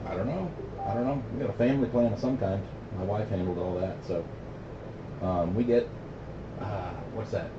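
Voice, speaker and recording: A man's voice: lowish voice, normal speed. A middle-aged American man chatting casually on CB radio, plain-spoken and opinionated, using radio slang. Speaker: CB radio operator hosting a radio night. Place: CB base radio station. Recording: muffled, noisy, roomy CB radio audio.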